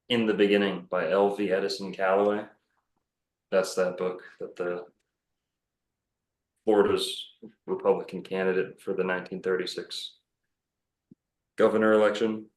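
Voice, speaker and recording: A man's voice: deep voice, darkish tone, echoey room. A man talking casually as a podcast guest, rambling and self-assured with many fillers and restarts. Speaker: podcast guest, bookstore owner.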